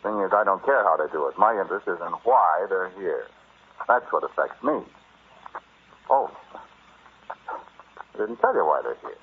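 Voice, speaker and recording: Deep-voiced, wry radio-drama explorer. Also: male voice, very animated, measured pace, pauses often, slightly rough, full character voice. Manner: wry, conversational, dramatic storytelling. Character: flippant, stubborn explorer husband hiding his fear. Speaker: narrator and lead actor. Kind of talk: old-time radio drama acting. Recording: muffled, noisy vintage radio recording.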